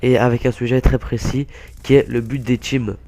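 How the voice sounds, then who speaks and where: A young man talks through a gameplay clip while sick, his voice hoarse and stuffed up. The tone is dark and gravelly with a lot of fry, and he stumbles and restarts often. His pitch sits in an ordinary middle range. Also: level tone. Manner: halting, many fillers and restarts. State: hoarse, stuffy-nosed with a cold. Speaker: gaming YouTuber. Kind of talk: casual gameplay commentary.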